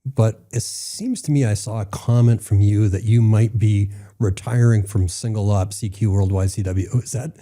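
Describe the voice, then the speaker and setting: Man, host, deep voice, very dark tone, enthusiastic questions. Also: fairly even intonation, normal speed, few pauses. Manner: enthusiastic, chatty interviewer. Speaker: podcast host. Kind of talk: interview questions.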